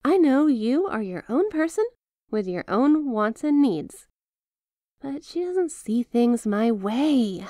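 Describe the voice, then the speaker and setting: A woman playing an apologetic, lovestruck young mafia heiress in an intimate ASMR roleplay, talking in a hesitant, conversational, softly teasing way. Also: on the high side, big pitch swings, slow pace, no room sound, clean studio sound. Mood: explaining, exasperated.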